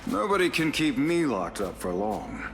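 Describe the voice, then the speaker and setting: An actor voicing a composed, self-assured sorcerer in a video game, articulate and authoritative. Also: male voice, medium pitch, very animated, moderate pace. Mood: confident. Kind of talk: video game hero voice lines. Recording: music behind.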